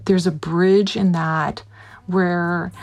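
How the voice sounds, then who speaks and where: A woman from Puerto Rico recounting a personal memory in a halting, reflective way, with many restarts. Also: fairly low pitch, level tone, a little mellow, muffled recording. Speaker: guest. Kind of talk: personal storytelling.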